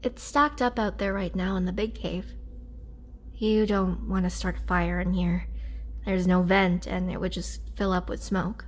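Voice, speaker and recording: Woman acting a soft, slow-spoken gentle vampire girlfriend. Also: lowish voice, fairly even intonation, slight background noise, close to an everyday voice. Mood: caring, reassuring. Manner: soft, hesitant, caring delivery. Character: gentle, remorseful young female vampire, tender and shy. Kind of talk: intimate girlfriend roleplay audio drama.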